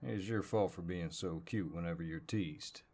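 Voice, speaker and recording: A man playing a flirtatious, easygoing cowboy neighbor with a deep voice and a folksy American Southern drawl, in an intimate roleplay audio. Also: darkish tone. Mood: playful, flirtatious. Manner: folksy drawl, playful and unhurried.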